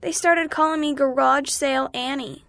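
An earnest, sensitive young schoolgirl from a children's story, acted by a woman. The voice sits high and is recorded dry and close. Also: steady tone, normal speed, gravelly, a bit bright, no room sound. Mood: upset. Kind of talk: children's story dialogue reading.

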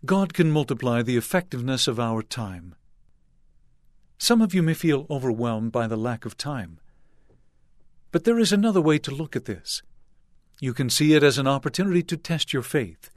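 An older man delivers a sermon in an earnest, measured voice and lets each phrase settle before the next. His pitch sits in an ordinary middle range. The sound is dry and close, with no room around it. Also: animated, no room sound. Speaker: church leader.

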